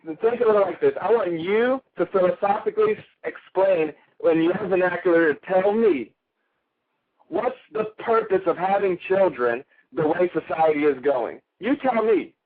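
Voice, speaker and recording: Male caller with an Italian accent, crude and heated, tripping over words; high-pitched and phone-thin. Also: lively intonation, normal speed. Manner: ranting, crude and heated, with stumbles. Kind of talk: call-in radio rant. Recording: tinny phone line.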